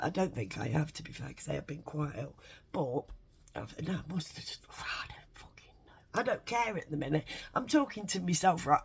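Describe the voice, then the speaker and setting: Woman vlogging at home, stuffy bunged-up nose, gravelly and a bit husky, swears loads, rambles. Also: on the low side. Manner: sweary, excitable, tangential. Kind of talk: rambling casual monologue.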